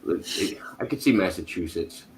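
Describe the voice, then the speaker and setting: Very deep male host from the Chicago area, laid-back stream chat, faint noise. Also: lively intonation, normal speed. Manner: laid-back, reads chat comments aloud. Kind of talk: casual chat, greeting viewers.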